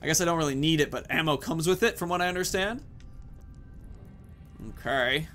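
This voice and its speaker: A man's voice: on the high side, fairly quick, a bit bright, faint music behind. A man in his thirties giving casual, informal, rambling commentary as he hosts a video game playthrough. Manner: rambling, informal, swears mildly. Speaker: gaming video host.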